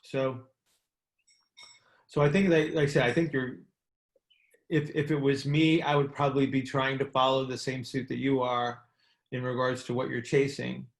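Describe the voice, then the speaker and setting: Gravelly man coaching in a roomy space. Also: mid-range voice, little pitch movement, moderate pace, long pauses. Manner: explanatory, checks in with rhetorical questions. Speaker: coach and mentor hosting a group call. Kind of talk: conversational expert advice. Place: indoor room, on a conference call.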